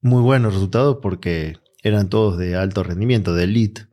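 Deep, mellow Argentine man. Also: fairly quick. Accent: Argentine Spanish. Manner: relaxed, reflective, with frequent fillers. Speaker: guest, mental coach. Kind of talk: interview answers.

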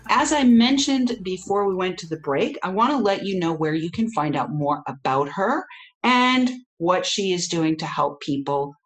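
A woman's voice: fairly low pitch, expressive, normal speed, soft background music. A woman hosting a podcast, interviewing a guest and making upbeat announcements. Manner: upbeat, promotional. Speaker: podcast host.

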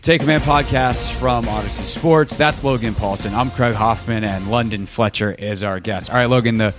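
A man's voice: mid-range voice, moderate pace, music in the background. A man hosting a sports podcast in a conversational style.

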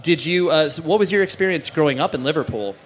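A man's voice: fairly high pitch, quick pace. A man hosting an interview, asking questions in a meandering way with many "uh"s and restarts. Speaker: podcast host. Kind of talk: casual interview chat.

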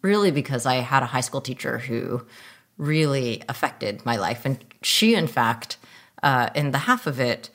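A middle-aged woman, born in the United States with Mandarin as her first language, interviewed as a filmmaker and telling animated anecdotes with laughter. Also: very deep voice, a little slow. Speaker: filmmaker guest. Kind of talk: interview storytelling.

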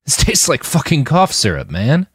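A man's voice: mid-range voice, huge pitch swings, moderate pace, fairly bright tone, slightly rough, no echo, studio quality, pitched above the performer's own voice, exaggerated voice. A man voicing a friendly, plain-spoken army mechanic in an audiobook, casual and frank. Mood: blunt.

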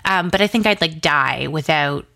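A woman's voice: low voice, moderate pace, creaky, sharp tone, dry recording, clean studio sound. A woman chatting casually as an interview guest, enthusiastic and talkative, peppering her sentences with "like". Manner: chatty and enthusiastic, says "like" very often. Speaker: interview guest, podcast and pop culture site creator.

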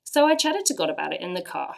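A woman in her forties with an English accent, earnestly sharing a personal faith testimony with lively emphasis. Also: lowish voice, quick pace, gravelly. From England. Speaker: church speaker sharing testimony.

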